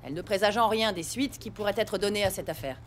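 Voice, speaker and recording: An actress playing a composed police captain in an audio drama, speaking in a formal, official manner. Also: female voice, medium pitch, fast, a little hiss or hum, natural voice.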